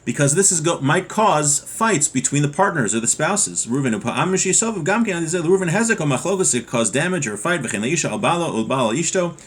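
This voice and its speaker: A man's voice: fairly high pitch, animated, fast talker, few pauses, fairly bright tone, breathy edge. Man teaching an informal religious lesson. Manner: explains with acted everyday dialogue examples. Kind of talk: informal text lesson, reading then explaining.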